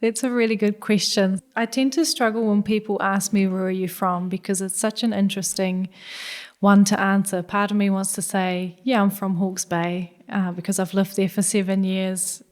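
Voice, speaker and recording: Hungarian-born woman w kiwi accent, 30s, steady flat delivery, lots of yeahs and i guess. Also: medium pitch, normal speed. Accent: New Zealand. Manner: reflective, many "yeah" and "I guess" fillers. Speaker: guest scientist. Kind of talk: podcast interview.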